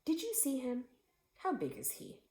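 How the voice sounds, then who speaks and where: Woman as an eager, high-pitched storybook boy. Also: huge pitch swings, unhurried, dark tone, roomy sound, slight background noise, exaggerated voice. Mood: curious.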